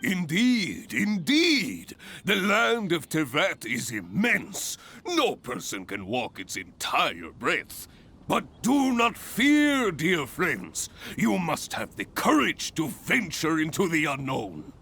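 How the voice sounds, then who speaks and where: A man playing a boastful, hearty veteran adventurer with a booming, theatrical manner in a video game. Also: huge pitch swings, takes it slowly, bright, forceful tone, exaggerated voice. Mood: grandly reassuring. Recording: clear background music.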